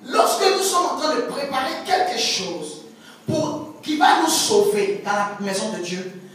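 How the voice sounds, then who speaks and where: A woman's voice: on the high side, sing-song, measured pace. A woman prophetess preaching a sermon, emphatic, repeating words and stretching key phrases. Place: church hall. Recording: echoey church hall, distant mic, faint music.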